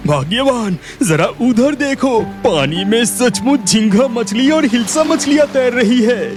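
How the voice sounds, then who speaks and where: A man voicing an excitable, food-loving village husband in a Hindi cartoon story, with expressive exclamations. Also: extremely high pitch, unhurried, music in the background. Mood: amazed.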